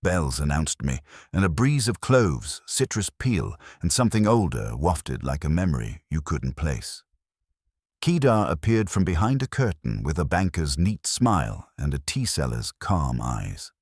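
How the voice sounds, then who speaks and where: Very deep male detective narrator, husky. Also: very animated, unhurried, no room sound, clean studio sound. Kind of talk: scripted first-person detective narration.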